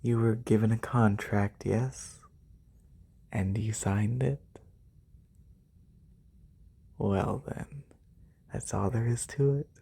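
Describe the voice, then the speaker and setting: A man playing a haughty, demanding lamia noble in a soft-spoken ASMR roleplay, formal and condescending, with a breathy, husky voice close to a binaural microphone. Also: lowish voice, steady tone, exaggerated voice. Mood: smug, dismissive. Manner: condescending, formal, drawn-out delivery. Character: haughty wealthy lamia noble, demanding serpent-bodied employer.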